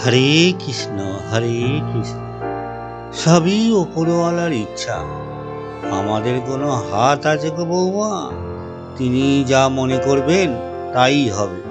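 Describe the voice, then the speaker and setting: A man's voice: medium pitch, animated, slow pace, long pauses, over music. A man voicing a sanctimonious, sweet-talking older village moneylender with an unctuous, preachy way of speaking, in a cartoon. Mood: smug, pious. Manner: unctuous, pious, chanting devotional phrases. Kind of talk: Bengali cartoon voice acting.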